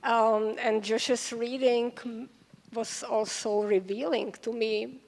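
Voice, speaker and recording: A woman moderating an on-stage interview, speaking unscripted in a halting, careful way with restarts. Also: on the high side, steady tone, vocal fry, darkish tone. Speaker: moderator, scholar. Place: large event hall stage. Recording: echoey hall with long reverb.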